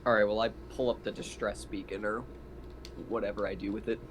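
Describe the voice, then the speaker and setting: A male player talks casually during a tabletop roleplaying game. His voice sits fairly low, and there is faint noise in the recording. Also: level tone, a little fast.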